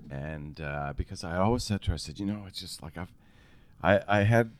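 A man's voice: deep voice, brisk, no echo. A middle-aged man talking as a podcast guest in casual chat, projecting strongly and laughing often.

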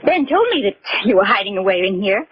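Arch, animated radio-mystery socialite. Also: female voice, high voice, exaggerated voice. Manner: arch, dramatic. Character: cool, cutting socialite at a murder-mystery masquerade. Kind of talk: 1940s radio mystery acting. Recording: muffled 1940s radio recording.

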